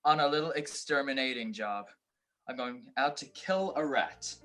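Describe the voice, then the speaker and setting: A man's voice: medium pitch, moderate pace, fairly bright tone, lightly acted. A man playing a tough, vengeful detective in an old radio-style crime drama, curt and threatening. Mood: grim, threatening. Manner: curt, threatening, hard-boiled. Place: recorded at home over a video call.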